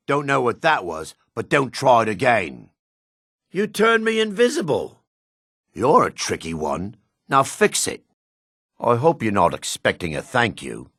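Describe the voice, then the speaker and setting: A man voicing a gruff, guarded dark elf townsman in a fantasy video game, with a raspy, measured, theatrical delivery. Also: on the low side, no echo, clean studio sound, full character voice. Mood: menacing, wary, then alarmed. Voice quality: raspy, gravelly.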